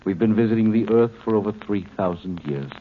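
A cold alien overseer, voiced by a man with a very low, deep voice, lecturing his captives in slow, superior tones. The sound is thin and tinny like an old broadcast, with a faint hiss beneath. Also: natural voice. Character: cold calm male alien overseer, condescending. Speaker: alien captor. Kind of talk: 1950s radio drama acting.

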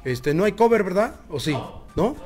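A Mexican man hosts a late-night chat show from a small studio and speaks into a desk mic. His voice sits a little high, and he teases the others at a quick clip. Guitar playing comes through clearly behind him. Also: big pitch swings, a little mellow. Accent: Mexican Spanish. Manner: joking, teasing, quick banter. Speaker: host. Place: small decorated studio with colored lights. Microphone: desk microphone on a boom arm.

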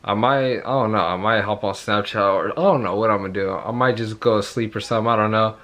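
A young man in his twenties, hoarse after yelling at a concert, talking excitedly and rambling in a casual vlog, filmed on a phone held at arm's length. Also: on the low side, quick pace. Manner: rambling, excited, repeats himself. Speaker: vlogger. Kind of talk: casual vlog talk to camera. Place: bedroom at home, then walking on a suburban street outdoors.

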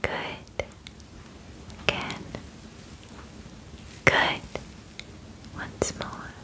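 A woman in her twenties whispering close to the mic in an ASMR roleplay as an examiner, deliberate and formal in her phrasing. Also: low-quality recording. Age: 20s. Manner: slow, soft, deliberate, formal clinical phrasing. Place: small bedroom, indoors.

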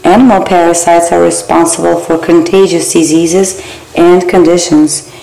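Low warm lady reading microbiology slides, steady pace, little slips, kinda gravelly. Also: normal speed, reverberant, poor audio. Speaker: barbering theory instructor. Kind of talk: reading exam slides aloud.

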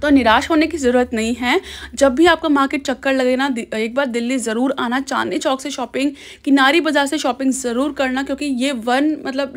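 A young woman filming a chatty shopping vlog as she walks through a busy outdoor market, talking to the viewer through a handheld camera or phone. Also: sits high. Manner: chatty, keeps asking "ठीक है". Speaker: shopping vlogger.

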